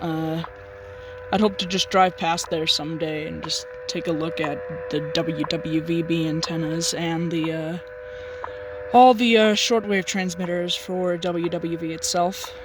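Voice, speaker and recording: A shortwave radio hobbyist giving casual, halting commentary on what the receiver picks up. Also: medium pitch, steady tone, music in the background. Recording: loud shortwave static and radio noise. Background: shortwave radio static and broadcasts.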